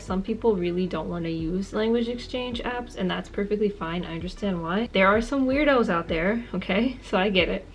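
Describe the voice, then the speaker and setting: A woman in her twenties talking casually to camera, giving friendly, earnest tips and repeating words for emphasis. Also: medium pitch. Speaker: language-learning vlogger.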